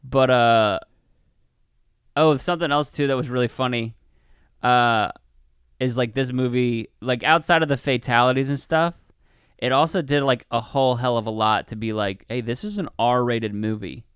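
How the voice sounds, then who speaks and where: A man chats casually as a podcast co-host reviewing films. His voice is clear and smooth at an ordinary pitch, recorded dry and close. He talks in loose slang with swearing and slips into little mock quotes. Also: fairly even intonation, moderate pace, a bit bright, no room sound. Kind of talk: casual movie review chat.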